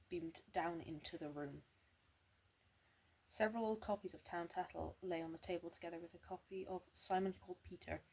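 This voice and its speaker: A woman's voice: lowish voice, darkish tone, husky, low-quality recording. A young woman reading a novel aloud, often stumbling and restarting words because of a slight stammer. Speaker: amateur reader.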